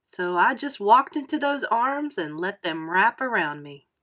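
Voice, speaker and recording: A woman storyteller narrating a children's book aloud in an expressive style. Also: mid-range voice, measured pace. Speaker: read-aloud storyteller, teacher.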